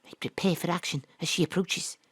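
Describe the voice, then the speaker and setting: A woman narrates a hard-boiled detective parody in a wry, deadpan way, sounding brash and knowing. Her voice sits on the lower side, and it is recorded close and dry in a home studio. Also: brisk, husky, no room sound. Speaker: narrator and lead actor, private detective.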